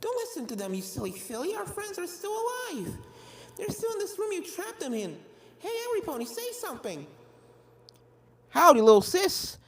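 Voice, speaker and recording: A narrator doing high-voiced, reassuring cartoon pony friends. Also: huge pitch swings, moderate pace, vocal fry, full character voice. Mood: urgent, reassuring. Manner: high, scolding but reassuring.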